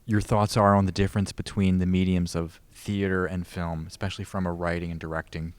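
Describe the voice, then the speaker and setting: A man conducts a conversational interview. His voice sits a little low, and he feels his way through questions in loose, rambling phrases. The recording is dry, with little room sound. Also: little pitch movement, brisk, clear, resonant tone, no echo. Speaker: interview host.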